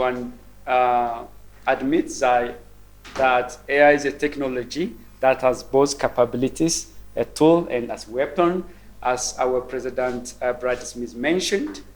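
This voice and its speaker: A man in his forties from Ethiopia, giving a conference presentation in a measured, thoughtful way with frequent ums and repeated words. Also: medium pitch. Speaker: keynote speaker. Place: university roundtable event room.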